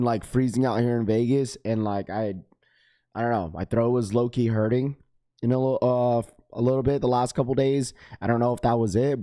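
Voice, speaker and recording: A man hosting a morning livestream, hoarse and losing his voice, greeting viewers in laid-back slang. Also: mid-range voice, mellow, no echo, studio quality. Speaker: livestream host. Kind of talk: casual livestream chat. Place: Las Vegas, indoors.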